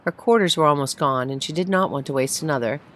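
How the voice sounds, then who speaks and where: Female storybook narrator, deep register, reading aloud with faint background noise. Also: quick pace, fairly bright tone. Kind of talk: scripted short-story narration, read aloud.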